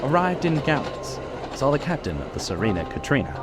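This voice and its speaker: A man's voice: medium pitch, sing-song, a little fast, over loud music, lightly acted. A man playing an earnest diarist, narrating journal entries with clipped, grave urgency in a radio drama. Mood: urgent. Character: earnest male diarist reporting a tense pursuit.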